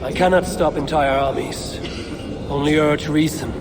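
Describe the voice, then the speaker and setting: Man acting a steadfast, higher-pitched Norse warrior. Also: fairly even intonation, a little slow, natural voice. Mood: firm. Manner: plain, blunt, earnest. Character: steadfast, terse Norse warrior seeking truce. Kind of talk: dramatic game cutscene acting. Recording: heavy ambient noise behind.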